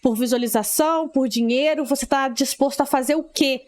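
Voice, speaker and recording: A woman's voice: high-pitched, brisk, a little mellow. A woman streamer reacting casually to a video, chatty and hesitant, with disgusted asides.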